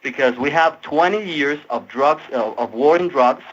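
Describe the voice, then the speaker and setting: A man's voice: fairly high pitch, lively intonation, normal speed, fairly bright tone. A Colombian man in his twenties, a native Spanish speaker with a Colombian accent, earnestly arguing a point and often repeating words as he searches for phrasing. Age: 20s. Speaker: tech support agent. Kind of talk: impassioned persuasive argument on a support call. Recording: dull lo-fi call audio.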